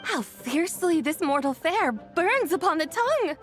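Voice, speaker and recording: A woman's voice: very high-pitched, big pitch swings, moderate pace, faint music behind. A woman playing a whimsical, lofty self-styled immortal in a video game, with theatrical, grand and archaic phrasing. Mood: lofty, curious. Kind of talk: video game character acting.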